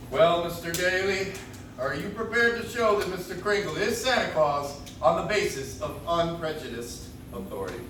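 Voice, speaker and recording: A weary, dignified old judge acted by a man blusters and hesitates in a high, very animated voice. It is old-time radio play acting, recorded in an echoey, noisy room. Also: moderate pace. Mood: formal, evasive. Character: flustered grandfatherly judge, weary, evasive, dignified. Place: coffee shop stage, recorded from the audience. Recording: noisy, very echoey live room, distant mic.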